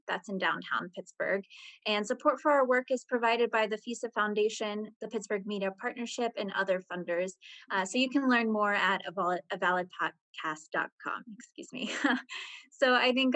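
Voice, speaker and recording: A woman presenting as a reporter, explaining in a conversational, halting way as she thinks aloud. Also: medium pitch, a bit bright, no echo.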